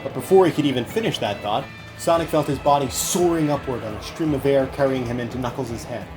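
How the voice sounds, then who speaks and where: A man's voice: medium pitch, quick pace. Male narrator: earnest dramatic storytelling, mid-range voice, music underneath. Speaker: audiobook narrator.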